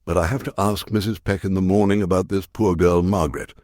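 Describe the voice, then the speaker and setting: A man plays a retired war correspondent in an audio drama, older and worn down by life. His voice sits low, in a dry recording with no room around it. He thinks aloud with wry, muttered resignation. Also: steady tone, brisk, a little mellow, no room sound, close to an everyday voice. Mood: curious, troubled. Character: world-weary retired war correspondent starting over alone.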